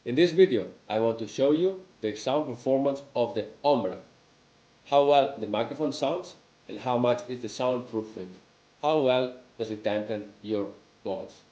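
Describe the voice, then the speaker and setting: A man's voice: mid-range voice, moderate pace, mellow, highs cut off. A man, a company founder formally presenting a product demo. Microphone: desk microphone in front of him. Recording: roomy echo.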